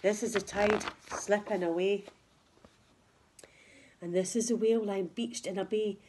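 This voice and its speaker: A woman's voice: mid-range voice, steady tone, measured pace, background noise. A woman reading a rhyming picture book aloud to children like a teacher, with emphatic, dramatic phrasing. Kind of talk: expressive children's storytelling in rhyme.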